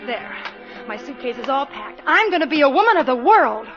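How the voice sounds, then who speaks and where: Pouty spirited young lady, high voice, playful, lo-fi old radio with music. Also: big pitch swings, fairly quick. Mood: defiant. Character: spirited young woman fed up with being told no. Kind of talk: 1940s radio acting. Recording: dull lo-fi 1940s radio broadcast with music.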